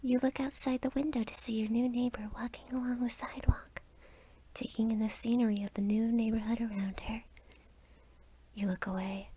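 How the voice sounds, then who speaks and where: A young woman narrating a scripted second-person ASMR story in a soft whisper. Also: on the high side, level tone, normal speed. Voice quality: whispery, breathy.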